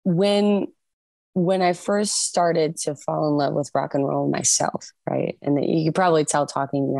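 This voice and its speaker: Low, gravelly-voiced woman. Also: no echo. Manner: animated, laughing often, many restarts. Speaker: singer and guest. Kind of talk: casual interview chat.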